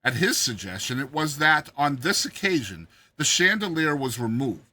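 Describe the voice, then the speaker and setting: A man narrating a classic short story in a dramatized audiobook style, with deliberate, theatrical phrasing. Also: mid-range voice, sing-song, pushed and bright.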